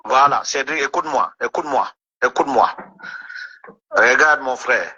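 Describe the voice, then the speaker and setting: A man from Cameroon with an Anglophone Cameroonian accent speaks as a caller on a live stream. He is earnest and calming and leans on sayings. His voice is piercingly bright with a husky edge. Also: medium pitch, normal speed, highs cut off.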